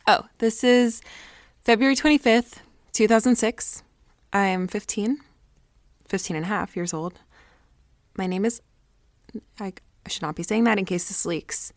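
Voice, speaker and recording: A woman playing an excitable, self-important teenage girl who rambles dramatically through a confessional audio diary. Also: medium pitch, measured pace, pauses often, dry recording, natural voice. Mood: chatty, self-important. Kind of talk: confessional audio diary monologue, naturalistic acting.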